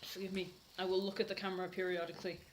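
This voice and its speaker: A woman's voice: lowish voice, flat delivery, very fast, slight background noise, low-quality recording. A British woman co-hosting a comedy reading show, chatting casually to camera in a mock-serious way.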